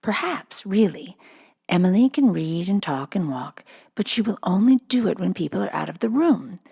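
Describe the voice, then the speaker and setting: A woman's voice: medium pitch, lively intonation, normal speed, vocal fry, mellow, breathy edge, pitched above the performer's own voice. A woman voicing a serious, imaginative little girl in a children's storybook, earnest and whimsical. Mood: confiding. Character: imaginative, serious little girl confiding about her doll.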